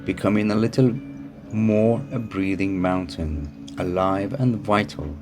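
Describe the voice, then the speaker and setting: A man narrating a guided meditation in a calm, soothing manner with unhurried phrasing. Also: fairly low pitch. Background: music behind the voice.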